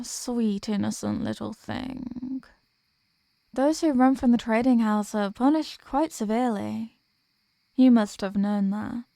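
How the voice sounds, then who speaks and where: A woman playing a dominant, condescending elder vampire in an ASMR roleplay, with teasing, formal, deliberate diction. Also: mid-range voice, dark tone, dry recording, clean studio sound. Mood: predatory, mocking. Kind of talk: ASMR roleplay audio drama.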